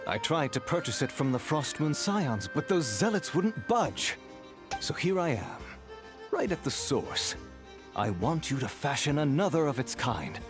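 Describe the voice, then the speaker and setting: An actor playing a smug, courteous, scheming business dealer, suave and formal, in a video game. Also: male voice, a little high, big pitch swings, unhurried. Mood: smooth persuasion. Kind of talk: theatrical video game acting. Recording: game music behind.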